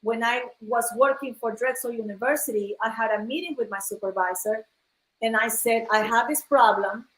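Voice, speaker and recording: A woman's voice: fairly high pitch, moderate pace, a bit bright. Earnest woman from Ecuador, echoey room. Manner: earnest storytelling, addresses viewers as guys. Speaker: host. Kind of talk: casual live-stream chat. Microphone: phone live stream.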